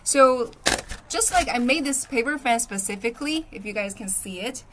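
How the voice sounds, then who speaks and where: A woman's voice: a little high, animated, normal speed, noisy background. A young woman from Shanghai whose first language is Chinese, hosting a casual livestream, chatting and answering viewer comments. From Shanghai, China. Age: young adult. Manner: chatty, reads and answers viewer comments, often asks "okay?". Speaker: livestream host. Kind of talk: casual live-stream chat with viewers.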